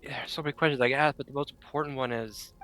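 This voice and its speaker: A woman's voice: a bass voice, fairly even intonation, racing, fairly bright tone, faint music behind. A woman casually reacting to a game on a live stream, reading bits aloud and making murmured asides.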